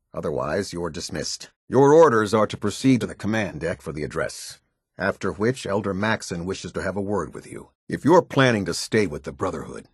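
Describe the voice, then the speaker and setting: A man voicing a stern, disciplined military captain in a video game, with a husky voice and a clipped, commanding, formal delivery. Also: low-pitched, no echo, studio quality, exaggerated voice. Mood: curt, formal, then stern, disdainful. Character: stern male military captain, disciplined, exacting, loyal to his order.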